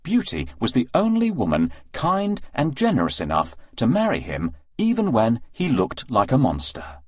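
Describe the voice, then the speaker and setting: A man's voice: medium pitch, huge pitch swings, normal speed, a little mellow, breathy edge. A man narrating a scripted children's story as a read-aloud storyteller, in a dry recording with music.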